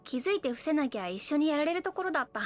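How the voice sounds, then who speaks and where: A woman's voice: high voice, very animated, rapid-fire, faint music behind. An actress playing an easygoing, affectionate young woman in an anime audio drama, talking in a frank, friendly, casual way. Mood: frank, gentle. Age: young adult.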